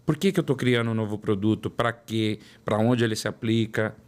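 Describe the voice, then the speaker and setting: A man's voice: on the low side, fairly quick. A man in his forties with a São Paulo accent, a guest telling his career story in an interview and repeating words for emphasis. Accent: São Paulo Portuguese. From São Paulo, Brazil. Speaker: guest, product development director. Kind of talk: interview conversation.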